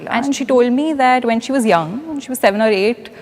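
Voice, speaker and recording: A woman's voice: a little high, a little fast. A woman in her twenties making an earnest argument in a panel debate. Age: 20s. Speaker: debate participant. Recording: reverberant studio hall.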